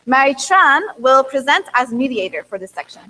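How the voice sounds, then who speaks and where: A woman's voice: high-pitched, sing-song, moderate pace, noisy background. A woman hosting a conference who introduces speakers in hesitant, stop-start speech full of fillers. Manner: hesitant, many fillers and restarts. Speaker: event host. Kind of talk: bilingual event introduction. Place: conference room.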